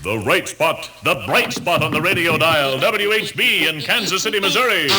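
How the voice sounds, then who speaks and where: Male American station-imaging voice, late sixties: fairly high, highly animated IDs over music. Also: normal speed. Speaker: radio station ID announcer. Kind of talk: 1960s top 40 station imaging. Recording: 1960s AM radio imaging tape.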